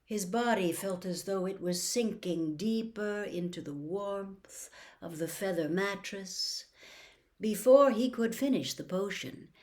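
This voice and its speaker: A woman's voice: mid-range voice, a little mellow. Woman reading a story aloud. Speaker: audiobook reader. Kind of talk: expressive storybook narration.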